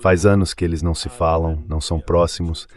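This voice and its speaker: Very low-pitched man giving political commentary. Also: little pitch movement, a little fast, a little mellow. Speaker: interview guest, political commentator.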